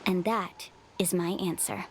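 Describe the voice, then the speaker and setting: A woman's voice: lowish voice, steady tone, unhurried, a little hiss or hum, natural voice. A woman playing an upbeat, confident and caring young tour guide in a video game, encouraging in manner. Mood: earnest. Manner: encouraging, exclamatory.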